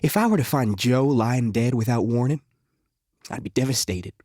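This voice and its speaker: A man's voice: medium pitch, normal speed, a little mellow, dry recording, natural voice. An actor playing a wisecracking, jittery, volatile male locksmith outlaw in a western audio drama, with a sardonic, rambling, folksy way of talking. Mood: bitterly menacing.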